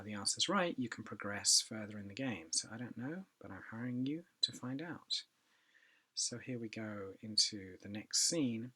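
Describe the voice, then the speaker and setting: A man talks through a software demo in a relaxed, offhand way, pausing often to think aloud. His voice sits a little low, with a bright, forward edge and a slightly husky grain. It sounds like he is recording at his computer. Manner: relaxed, thinking aloud with many ums and asides. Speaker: product demonstrator. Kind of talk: casual software demo walkthrough.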